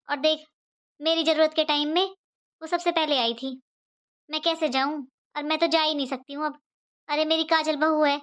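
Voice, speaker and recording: A woman voicing a fretful, caring middle-aged mother-in-law in an animated family drama, with an insistent, repetitive way of talking. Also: high-pitched. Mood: worried. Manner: fussing, insistent, repeats herself.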